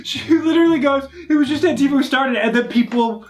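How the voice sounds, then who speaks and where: High-voiced man in casual, mocking chat. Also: quick pace, faint music behind. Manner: mocking, quotes others in acted voices. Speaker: video commentator.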